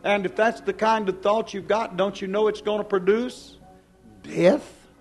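A male preacher delivers a hellfire sermon in a notably high-pitched voice. He is stern and accusing, hammering his congregation with pointed questions and shifting between hushed and loud phrases. Faint music sits behind his voice. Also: steady tone, a little slow. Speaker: preacher, church pastor. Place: church service before a congregation.

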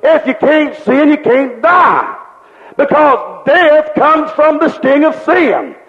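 A man's voice: very high-pitched, very animated, takes it slowly. A man preaching a sermon with fervent rhetorical questions and repeated refrains. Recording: dull lo-fi recording with long hall reverb.

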